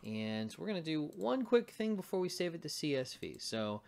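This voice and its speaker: A man's voice: fairly high pitch, very animated, normal speed, gravelly, darkish tone, a little hiss or hum. A man giving an informal coding tutorial, muttering to himself and thinking aloud as he works on screen. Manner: mutters to himself while typing. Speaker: programming tutorial presenter.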